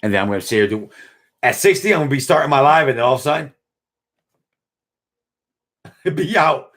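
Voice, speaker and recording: A man's voice: on the high side, rapid-fire. A man in his forties chatting casually on a live stream, reading viewer comments in a laid-back, slangy way, tired and yawning. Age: 40s. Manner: laid-back slangy, drifts from mumbling to excited.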